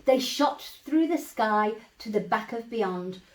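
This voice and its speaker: Lively, high-voiced woman reading a story. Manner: animated, measured, dramatic emphasis for young listeners. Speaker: storyteller, school staff reading to children. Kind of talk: expressive bedtime story read-aloud. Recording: roomy echo, distant microphone.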